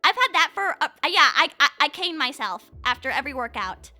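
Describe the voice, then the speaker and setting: A woman's voice: high-pitched, big pitch swings, fairly quick, bright, forceful tone, faint music behind. A woman in her twenties chatting casually as a livestream host, with a playful, rambling manner and lots of filler "like". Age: 20s. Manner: playful, rambling, says "like" constantly. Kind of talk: casual chat.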